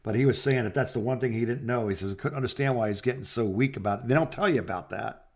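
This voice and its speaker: An older man chats casually over the phone as a co-host. His voice sits at an ordinary male pitch, and he rambles in an easygoing way, offering advice as he goes. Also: fast, slightly rough. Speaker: podcast co-host.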